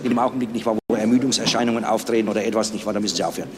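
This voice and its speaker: A man answering a press interviewer as a senior official, haltingly, with many ers and tag questions. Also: fairly low pitch, fairly even intonation. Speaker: senior public prosecutor. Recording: noisy location interview with traffic.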